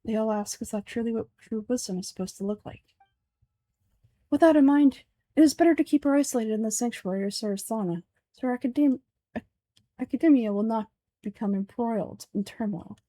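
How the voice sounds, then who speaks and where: A woman's voice: on the high side, brisk, warm tone, no room sound, lightly acted. A woman voicing a pompous, fanatical older male sage from a game, speaking formally and in declamatory fashion. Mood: disdainful. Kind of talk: game dialogue dub.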